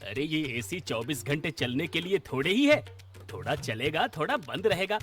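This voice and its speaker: A blustering, miserly cartoon boss, acted by a man, who scolds and sneers. His voice sits fairly high and leaps around with sarcasm, over faint music. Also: very animated, normal speed, fairly bright tone. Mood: irritable, bossy. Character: grumpy, miserly, bullying dhaba boss. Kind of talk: exaggerated comic cartoon acting.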